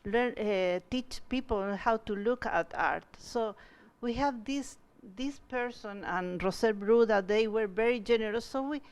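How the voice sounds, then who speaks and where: A woman's voice: on the high side, unhurried, few pauses, clear, resonant tone. A woman from Chile, a guest artist who answers audience questions at a museum talk and often restarts as she searches for words. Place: museum event space.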